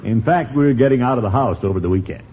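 A man's voice: medium pitch, fast talker, a little hiss or hum, natural voice. A man playing a fussy, pompous middle-aged uncle in an old-time radio comedy. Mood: self-important. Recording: tinny vintage radio recording.

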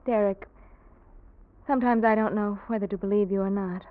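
An actress plays a poised young woman in a classic radio drama, reserved and courteous. Her voice sits at an average pitch for a woman. The sound is a dull vintage broadcast recording. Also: steady tone, brisk, natural voice. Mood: polite, coy. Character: poised, guarded young single city woman. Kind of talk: old-time radio drama acting.

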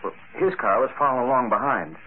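A shaken man voiced by an actor in an old radio drama. His middle-pitched voice moves expressively as he haltingly explains himself. The sound is narrow with faint noise. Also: animated, natural voice. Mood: explaining. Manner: hesitant, explaining. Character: shaken, rambling male kidnap victim. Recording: thin old radio recording, slight noise.

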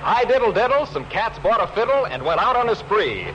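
A man's voice: high voice, sing-song, fairly quick, highs cut off, a little hiss or hum. An American man announcing a 1940s radio variety show as a flamboyant, wordy showman talking in jive slang. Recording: band-limited vintage 1940s radio, loud music. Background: loud music.

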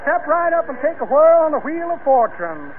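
A man's voice: extremely high pitch, very animated, brisk. A man playing a slick, pompous con man who barks rhyming carnival patter in a 1940s radio comedy. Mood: hawking. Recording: slightly noisy, tinny vintage radio recording.

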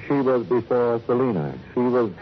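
An actor playing a suave, arrogant gangster with a smooth, sardonic manner in a radio crime drama. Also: male voice, medium pitch, normal speed, close to an everyday voice. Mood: disdainful. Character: suave, arrogant male gangster with fine tastes. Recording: muffled old radio recording, faint noise.

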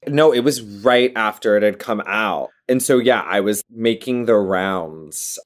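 A woman's voice: a bass voice, a little slow. A woman in her late twenties, a guest in a casual interview, talking playfully and laughing often. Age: 20s.

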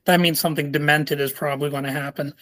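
A man's voice: a little high, flat delivery, fast talker, a bit bright, dry recording, clean studio sound. A man hosting a podcast, asking prepared questions with filler ums. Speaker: podcast host. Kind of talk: interview questions.

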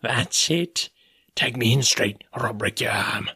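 A man voicing a sinister blind old beggar in an audiobook, with a soft, cold, sing-song whine. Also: medium pitch, slow pace, creaky, slightly rough, no room sound, clean studio sound, voice pushed up, exaggerated voice. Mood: menacing. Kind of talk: audiobook character voice.

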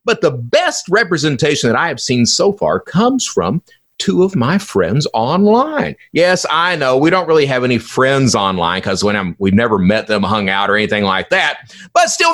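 A man's voice: medium pitch, big pitch swings, moderate pace, fairly bright tone. A middle-aged man delivering an informal solo podcast monologue as host, in a theatrical, folksy and emphatic manner with rhetorical questions.

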